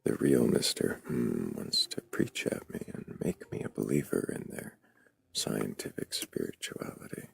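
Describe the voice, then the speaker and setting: Very deep gravelly-voiced man. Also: lively intonation. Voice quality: very low gravelly vocal fry. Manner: slow, dry, sardonic, often whispery. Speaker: livestream debate host. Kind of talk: livestream chat.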